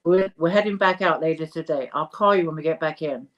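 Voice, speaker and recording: A woman narrator voices a grown daughter from a storybook, a fisherwoman with an affectionate nature. She talks briskly and warmly, as if pressed for time. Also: low voice, dull audio, lightly acted. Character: warm, hurried adult daughter, a fisherwoman.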